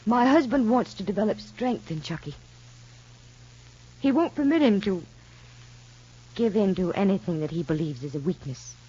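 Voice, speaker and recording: Anxious, earnest mother for vintage soap; slightly husky, careful wording, dull broadcast sound. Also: medium pitch, lively intonation, normal speed, long pauses, dark tone. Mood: anxious, troubled. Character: worried, earnest mother, a troubled wife seeking advice. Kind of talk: 1950s soap opera radio acting. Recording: muffled vintage broadcast recording.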